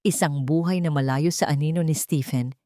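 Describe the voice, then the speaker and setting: A woman's voice: deep voice, moderate pace, mellow, no room sound, studio quality. A woman with a Filipino accent reading a scripted romance story as a dramatic narrator.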